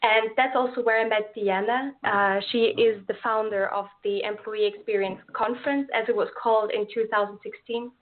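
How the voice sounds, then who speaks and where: A woman's voice: medium pitch, steady tone, normal speed, few pauses. Austrian-accented young woman on a phone-like call. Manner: friendly, earnest, with some fillers and restarts. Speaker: guest, event organizer. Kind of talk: casual interview over a call.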